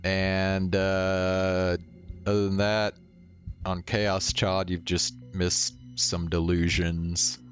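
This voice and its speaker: A man chatting casually as he streams a game. His voice is on the low side and very unhurried, laid-back and halting, with a slightly dull sound and soft music behind. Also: level tone. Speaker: livestreamer.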